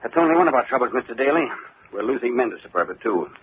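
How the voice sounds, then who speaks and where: An actor playing a worried, glum middle-aged company boss in an old-time radio drama, speaking in an anxious, resigned way. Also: male voice, mid-range voice, sing-song, rapid-fire, slightly rough. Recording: tinny old radio recording, phone-like band.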